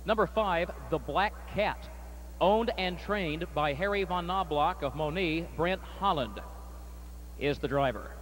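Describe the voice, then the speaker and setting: High-voiced man calling a harness race. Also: slight background noise. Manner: rapid, rising to shouts at the finish. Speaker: track announcer. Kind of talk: harness race call and winner's circle interview.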